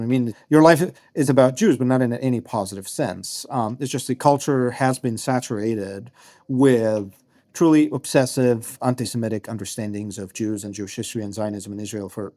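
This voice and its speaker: A man's voice: medium pitch, a little mellow. Thoughtful man from Egypt, in his thirties. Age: 30s. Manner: thoughtful, many restarts and fillers. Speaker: guest writer. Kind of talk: reflective interview answers.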